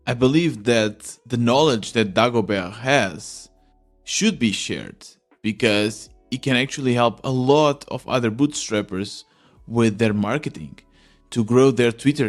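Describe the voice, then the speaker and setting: A man's voice: mid-range voice, unhurried, faint music behind. A man hosting a podcast, narrating his own reflections in the first person. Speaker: podcast host.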